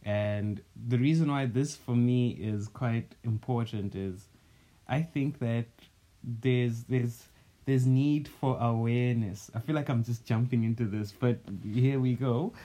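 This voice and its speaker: A man's voice: medium pitch, unhurried, warm tone. A man from Zimbabwe co-hosting a casual podcast chat, speaking earnestly and thinking aloud with fillers. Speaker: podcast co-host.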